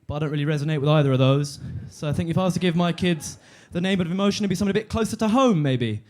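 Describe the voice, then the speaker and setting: Man, twenties, stand-up comedian, deadpan, halting, stage mic, higher pitch. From London. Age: 20s. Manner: deadpan, halting with fillers and restarts. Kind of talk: stand-up set.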